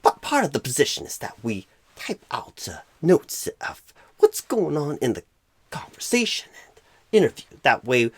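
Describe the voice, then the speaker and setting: A man voicing a clueless, flustered job recruiter in an ASMR roleplay, rambling with odd pauses mid-sentence. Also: fairly high pitch, dry recording, exaggerated voice. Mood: earnest, explaining. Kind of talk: ASMR roleplay, comic improvised monologue.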